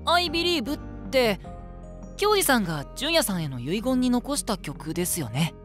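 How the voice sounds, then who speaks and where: An actor playing a gentle, easygoing, boyish young male band singer in an anime voice drama, with soft, casual phrasing. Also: mid-range voice, big pitch swings, measured pace, faint music behind. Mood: curious.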